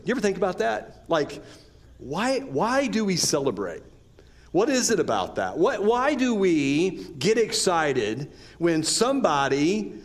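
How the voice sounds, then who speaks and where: A man with an American accent giving a conversational sermon, repeating rhetorical questions and often checking in with his listeners. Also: high voice. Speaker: preacher.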